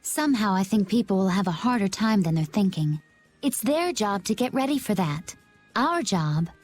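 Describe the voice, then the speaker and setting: Composed young woman, anime game, female actor: wry, decisive, medium register with lively swings, scored. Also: mid-range voice, moderate pace, faint music behind, close to an everyday voice. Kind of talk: English anime game dub acting.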